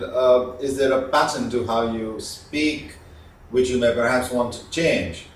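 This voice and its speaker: Man training, echoey distant recording. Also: medium pitch. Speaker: communication skills trainer. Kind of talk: instructional talk on voice modulation. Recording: echoey room, distant mic, faint noise.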